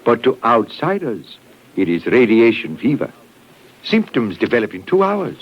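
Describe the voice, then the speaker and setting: Older male biologist, sixties, husky, precise, very animated inflection, vintage radio acting, muffled recording. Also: medium pitch, normal speed. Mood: grave, explaining. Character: courteous, troubled sixty-two-year-old biologist, secretly condemned. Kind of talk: 1950s radio drama acting.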